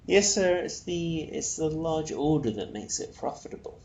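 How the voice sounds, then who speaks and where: A man reading a storybook puts on an obliging salesman with a creaky voice. He is deferential, formal and quick to apologise, speaking in a roomy, echoing space. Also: a little high, animated, darkish tone, voice pushed up. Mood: coaxing. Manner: polite, formal, fussily apologetic. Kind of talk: storybook character voice. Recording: roomy echo, faint noise.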